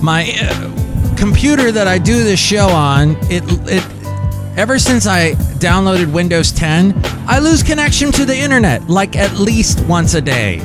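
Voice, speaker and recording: A man hosting a comedic podcast, rambling goofily with sing-song asides. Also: on the high side. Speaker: podcast host.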